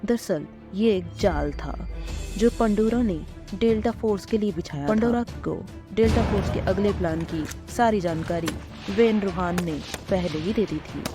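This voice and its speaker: A woman's voice: mid-range voice, normal speed, music in the background. A woman giving scripted narration as a storyteller, explaining a dramatic tale.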